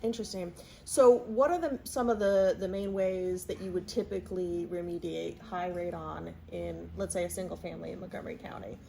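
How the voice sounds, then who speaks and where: A woman's voice: on the low side, fairly even intonation, fairly quick, vocal fry, few pauses, warm tone, a little hiss or hum. A woman hosting a conversational interview, asking a guest practical questions. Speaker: interviewer, real estate professional.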